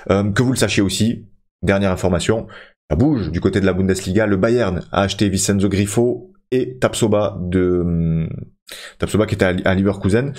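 A man's voice: fairly low pitch, a little mellow. A man in his thirties casually commentating his football career game for viewers, chatty and full of fillers. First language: French. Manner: chatty, addresses viewers as friends, many fillers. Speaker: gaming YouTuber.

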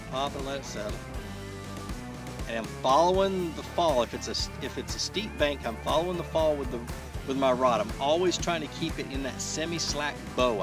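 A man in his thirties hosting a fishing video, talking casually through his technique while he fishes from a kayak on a lake, recorded on a boat-mounted action camera. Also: medium pitch. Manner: relaxed, explains while casting, frequent restarts. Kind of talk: casual how-to narration. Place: kayak on an open lake outdoors.

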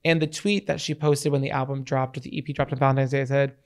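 A man in his thirties with a raspy voice, co-hosting a podcast in a small home studio and chatting eagerly about music into a handheld mic. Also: mid-range voice, level tone, quick pace. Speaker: podcast co-host. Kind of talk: casual chat. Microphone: handheld microphone.

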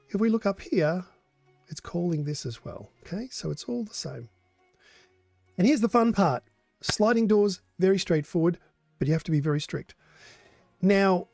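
An older man hosting a relaxed live modding tutorial, explaining code in a hoarse, coughing voice. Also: sits high, no echo. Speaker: modding stream host.

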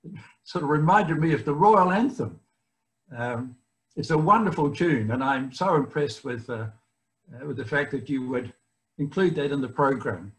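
An older man with a New Zealand accent, giving a guest speech over a video call from his home library, often pausing with fillers. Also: fairly high pitch, animated, rough recording. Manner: halting, many fillers and restarts. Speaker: guest speaker.

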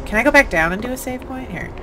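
A woman's voice: on the high side, animated, fast talker, noisy background. A woman chatting casually as she plays a video game, reacting in short muttered remarks and exclamations. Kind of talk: casual let's play chat while playing.

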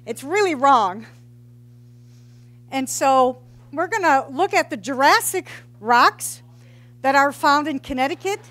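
High-voiced woman giving a geology talk. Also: expressive, slow pace, long pauses, fairly bright tone. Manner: informal, joking, quizzes the audience. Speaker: geology professor. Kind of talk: public science talk. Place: large hall with brick walls. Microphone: headset mic.

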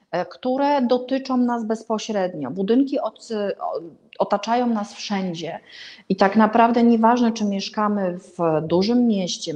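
A middle-aged woman hosts an informal book review to camera, pausing and correcting herself as she goes. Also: mid-range voice, darkish tone. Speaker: vlog host recommending books. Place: indoor room hung with paintings.

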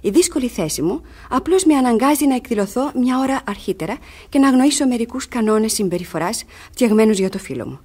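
An actress playing a bold, desperate young woman in love in a radio drama, urgent and expressive. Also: a little high, husky. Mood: urgent, confiding. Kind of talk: theatrical radio drama acting.

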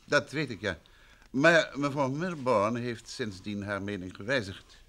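An actor playing a composed, probing detective husband in an old Dutch radio drama, speaking with polite, measured questions. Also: male voice, mid-range voice, a bit bright, natural voice. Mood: courteous, probing.

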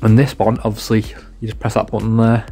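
A man's voice: lowish voice, flat delivery, quick pace, vocal fry, mellow, slightly rough, soft background music. A man giving a casual, informal product demonstration, rambling and repeating himself as he goes. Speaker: product reviewer.

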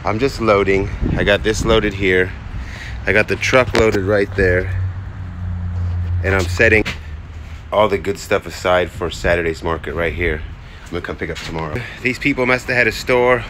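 Excitable man unboxing outdoors. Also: sits low, moderate pace. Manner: excited, chatty, talks to viewers. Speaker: storage auction reseller. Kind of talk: casual unboxing vlog. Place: outdoors by storage units and truck. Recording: outdoor noise behind voice.

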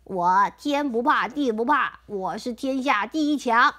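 A woman's voice: fairly high pitch, animated, measured pace, sharp tone. A woman reading aloud as a proud, chest-puffing wall in a storybook. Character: proud brash wall, fearful of mice.

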